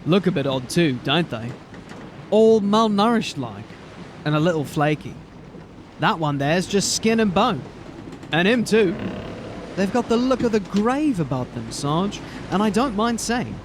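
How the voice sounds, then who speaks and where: A man's voice: high-pitched, very animated, measured pace, a little hiss or hum. An uneasy watchman. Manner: chatty, uneasy, colloquial. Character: chatty uneasy young male watchman. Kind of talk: audio drama acting.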